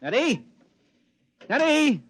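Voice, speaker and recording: An American actor playing an excitable, bossy but affectionate married man in an emphatic 1950s radio drama style. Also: very high-pitched, takes it slowly, faint music behind, full character voice. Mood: weary affection. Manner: emphatic, theatrical. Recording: muffled vintage 1950s radio recording.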